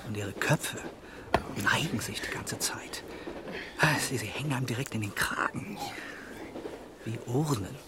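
A man acting a furtive, callous traveller in a radio play, confiding in a hushed, matter-of-fact way. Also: medium pitch, measured pace, long pauses, whispered, lightly acted. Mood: uneasy, hushed.

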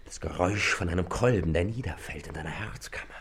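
An actor playing a rapturous male lover in a literary radio play, declaiming poetic lines fervently in a low, gravelly, often breathy voice. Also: quick pace, a little hiss or hum, natural voice. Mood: rapt. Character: rapturous male lover, a stranger in a rented room.

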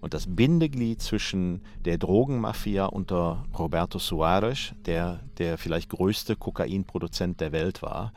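A man giving spontaneous expert commentary as in an interview, explaining in halting, emphatic phrases. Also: low voice, a little mellow.

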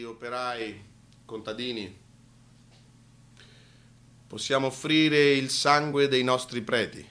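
Man playing fervent archbishop, radio theatre sermon, slow solemn delivery, bright forceful voice, swelling intensity. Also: medium pitch, pitched below the performer's own voice. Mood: solemn, grieving. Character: martyred Latin American archbishop preaching, solemn, fervent, compassionate.

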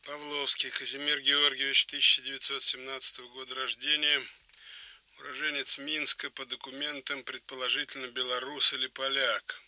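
Composed male Soviet colonel, even-pitched, sharp forceful tone, telephone briefing, radio drama. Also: no room sound. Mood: measured, businesslike. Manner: measured, deliberate, businesslike. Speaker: audio drama actor.

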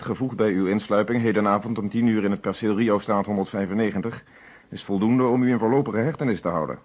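A man playing a composed, methodical police inspector in a Dutch radio play, speaking in a formal, measured way. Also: on the low side, husky. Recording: dull lo-fi old radio drama recording.

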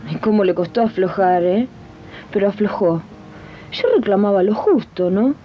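An actress playing a determined domestic worker in a radio drama, polite, plain-spoken and proudly firm. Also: female voice, moderate pace, lightly acted. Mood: proud, reflective. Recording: dull lo-fi recording with rumbling noise.

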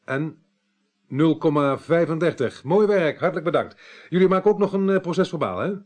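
An actor playing a businesslike, matter-of-fact police detective in a Dutch radio drama, speaking colloquially with slight hesitations. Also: male voice, on the high side, animated, normal speed, darkish tone, lightly acted. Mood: brisk, businesslike. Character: businesslike male police detective reporting on a case. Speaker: police investigator.

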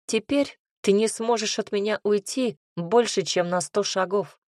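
A woman's voice: medium pitch, a little slow, darkish tone, no echo, studio quality, close to an everyday voice. A woman voicing a talkative, cheerful young male hunter who teases and banters. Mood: teasing. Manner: bantering, teasing.

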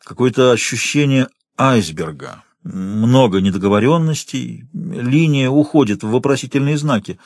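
A man voicing a cautious, skeptical male newspaper editor in an audiobook, measured and admonishing. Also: medium pitch, darkish tone, dry recording, studio quality, close to an everyday voice. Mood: thoughtful.

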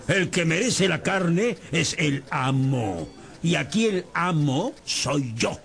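Gravelly, cruel old blind beggar. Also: male voice, on the high side, expressive, slow pace, full character voice. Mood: gruff, bossy. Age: older. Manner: mocking, theatrical, scolding. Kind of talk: radio drama acting. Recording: music bed behind voice.

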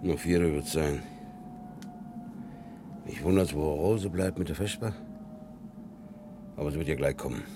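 Very deep-voiced old Silesian farmer. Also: male voice, a little slow, pauses often. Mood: grave, content. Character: stern, plain-spoken old farmer and father. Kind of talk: naturalistic German radio drama acting. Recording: noisy old radio production.

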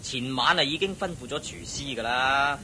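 An actor playing a dutiful, patient grown son in a radio drama, earnest and soothing, with a Hong Kong Cantonese accent. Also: male voice, moderate pace, sharp tone. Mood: reassuring. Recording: old radio drama with faint rumble.

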